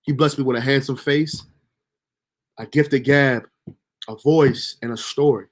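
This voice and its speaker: A man's voice: mid-range voice, steady tone, a little slow. Young male streamer, mid-range voice; casual, profane, self-motivating monologue. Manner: loose, slangy, motivational self-talk with profanity. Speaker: livestream host.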